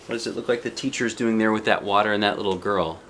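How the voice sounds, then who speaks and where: A man's voice: lowish voice, fairly even intonation, fast talker, noisy background. A man reading a children's picture book aloud expressively, with chatty asides and questions to the young listener. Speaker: storybook reader.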